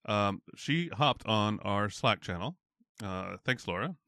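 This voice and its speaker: An American man with a lowish voice hosts a podcast, asking curious follow-up questions. His sound is dry and close to the mic, with no room echo. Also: animated, slow pace, bright, forceful tone. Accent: General American. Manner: curious, asks follow-up questions, reads listener comments aloud. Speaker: podcast host. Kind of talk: casual interview chat.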